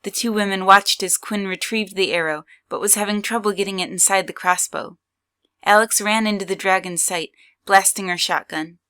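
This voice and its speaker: A woman's voice: medium pitch, fairly even intonation, moderate pace, pushed and bright, smooth. A woman reading scripted third-person story narration as the narrator of an audio drama.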